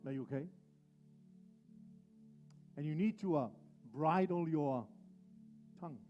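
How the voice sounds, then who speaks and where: A male pastor preaching a sermon, driving his points home with slow, repeated phrases. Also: on the high side, dull audio, soft background music.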